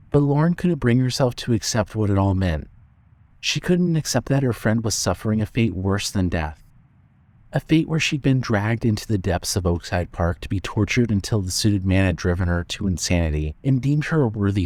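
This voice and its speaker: A man's voice: fairly low pitch, darkish tone, dry recording. A man narrating a scripted dramatic story as an audiobook-style narrator.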